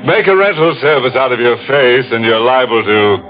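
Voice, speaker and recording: An actor playing a gleefully macabre, sardonic horror host with a drawn-out delivery in 1940s radio style. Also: male voice, medium pitch, expressive, lightly acted. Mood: macabre glee. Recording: muffled old radio recording. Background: prominent music.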